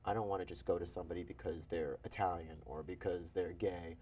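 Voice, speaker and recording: A man in his forties from New York, a physician and author, talking in an animated, self-deprecating way as an interview guest. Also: low voice, brisk, slight background noise. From New York, USA. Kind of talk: interview conversation.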